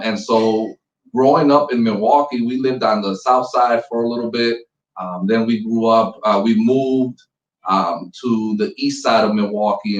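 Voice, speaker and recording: A man's voice: lowish voice, far from the mic. Earnest man on a roomy, muffled call. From Puerto Rico. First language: Spanish. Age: 40s. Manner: earnest, many ums and restarts. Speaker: school principal. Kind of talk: conversational interview.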